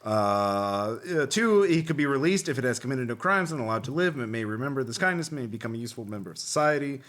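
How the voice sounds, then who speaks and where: A man's voice: mid-range voice, expressive, quick pace, fairly bright tone. A man hosting a podcast, chatting casually and reading passages aloud with a wry, joking manner. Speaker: podcast host.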